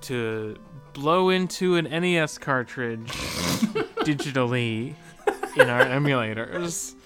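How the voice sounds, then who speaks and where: A man's voice: medium pitch, takes it slowly, over loud music. A man co-hosting a podcast in casual chat about video games. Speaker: podcast co-host.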